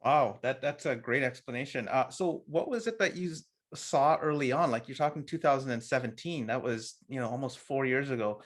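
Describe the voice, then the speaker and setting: A man's voice: medium pitch, a little fast, few pauses. A man hosting a podcast, asking questions in a casual, encouraging way. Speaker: podcast host and interviewer. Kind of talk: podcast interview.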